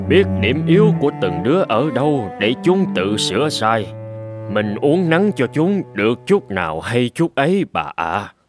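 A man voicing a stern, prudent old sword master and father in an animated folktale, deliberate and authoritative. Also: mid-range voice. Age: older.

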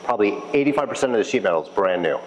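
A man speaking as a restoration technician in a casual interview, explaining in a halting, conversational way and repeating phrases. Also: on the low side, poor audio. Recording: clear steady background noise.

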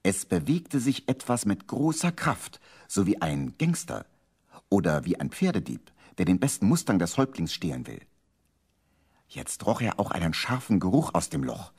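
An actor as the storyteller of a children's radio play, narrating with playful suspense in a husky voice. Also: male voice, on the low side, a little mellow, heavily acted.

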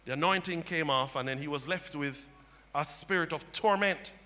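A middle-aged male pastor preaching a sermon on a church stage into a handheld mic, building to loud impassioned cries. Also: a little high, moderate pace. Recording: long hall reverb.

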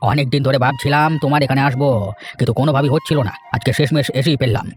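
A man voicing a scheming, flattering old village uncle in a cartoon, with an ingratiating, repetitive way of talking. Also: medium pitch, racing, soft background music, close to an everyday voice. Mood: jovial. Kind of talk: children's cartoon voice acting.

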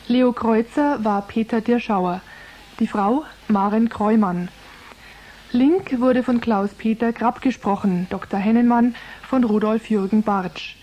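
A woman's voice: a little high, mellow. A woman announcer reading scripted radio credits in a formal, orderly way.

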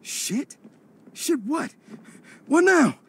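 A man's voice: very high voice, big pitch swings, very slow, slight background noise, full character voice. An actor playing a fussy, exasperated nerdy young man in a video game cutscene. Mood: pained, anxious. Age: young adult.

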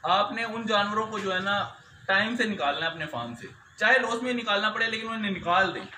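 A man's voice: high-pitched, animated, quick pace, pushed and bright, roomy sound, a little hiss or hum, poor audio. A young man explains things as a cattle farmer being interviewed, often repeating filler phrases, in an open cattle shed. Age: young adult.

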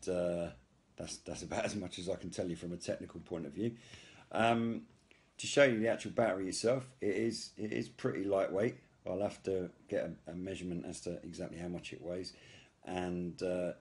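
A British man in middle age speaks in a very deep register. He hosts a casual product demonstration, feeling his way through the explanation with frequent hesitations. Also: darkish tone. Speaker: video host, product reviewer.

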